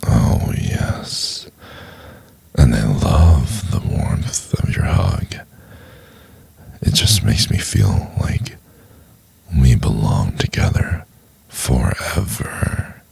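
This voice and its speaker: A man playing a domineering, possessive CEO boss in an intimate ASMR roleplay, in a gravelly, breathy half-whisper. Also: extremely low pitch, very animated, darkish tone, no room sound, exaggerated voice. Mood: tender, intimate. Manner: slow, commanding, intimate.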